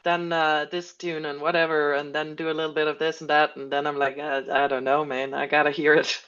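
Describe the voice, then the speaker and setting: A man's voice: on the high side, little pitch movement, a little fast, fairly bright tone, clean tone, highs cut off. A man from Denmark, a band member guest, talking in a laid-back, casual way on a podcast. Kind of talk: casual interview chat.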